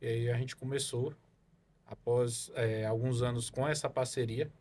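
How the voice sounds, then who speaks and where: A man's voice: medium pitch, fairly even intonation, normal speed, a little mellow. Rambling man telling his story. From Salvador, Bahia, Brazil. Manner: rambling storyteller, many fillers and tag questions. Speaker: guest, car evaluation consultant. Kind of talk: casual podcast interview.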